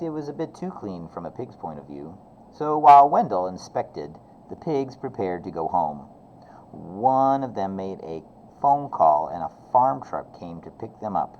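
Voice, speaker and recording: Low-voiced man reading a storybook, very animated. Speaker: elementary teacher reading aloud. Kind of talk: expressive children's storybook narration. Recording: slightly dull, faint background noise.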